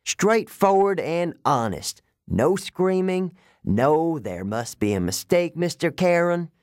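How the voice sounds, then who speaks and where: A man voicing a suave, condescending underworld ferryman with a British accent and a smooth, cold, sardonic drawl in a storybook reading. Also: fairly high pitch, very animated, slow, no echo, clean studio sound, pitched above the performer's own voice. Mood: dryly amused.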